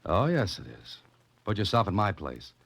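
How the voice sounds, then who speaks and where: Very deep-voiced hard-boiled American radio sleuth. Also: male voice, big pitch swings, moderate pace, vocal fry, a bit bright, exaggerated voice. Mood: wry, pressing. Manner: clipped, wry, insistent. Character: tough, wry male adventurer-sleuth, narrating and pressing for answers. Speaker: lead actor and narrator. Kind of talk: 1940s radio suspense acting. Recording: noisy, muffled vintage 1940s radio recording.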